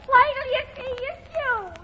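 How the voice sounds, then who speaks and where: A woman's voice: extremely high pitch, normal speed. A woman playing a mischievous little girl, a naughty brat, in a 1930s radio comedy. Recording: muffled, very noisy 1930s radio recording.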